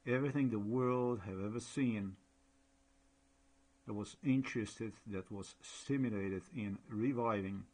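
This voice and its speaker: A man from Slovenia with a Slovenian accent, speaking halting, repetitive English as a non-native speaker while commenting over a shared screen. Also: on the low side. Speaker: blogger.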